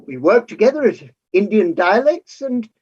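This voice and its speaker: A woman playing a courteous, earnest old gentleman colonel in a staged comedy reading, husky and hesitant. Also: medium pitch, sing-song, normal speed, muffled recording. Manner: hesitant, polite, stammering starts.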